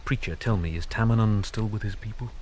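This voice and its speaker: An actor as a deep, deliberate frontier scout. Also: male voice, a little mellow, slight background noise, lightly acted. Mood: questioning. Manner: deliberate, plain-spoken. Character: principled frontier scout, steady, plain-spoken, thoughtful woodsman. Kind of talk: radio drama acting.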